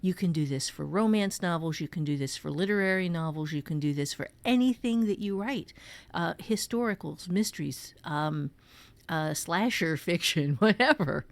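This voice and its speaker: A woman's voice: on the low side, normal speed, dry recording. A woman teaching writing in a conversational way, explaining step by step with emphasis as a co-host.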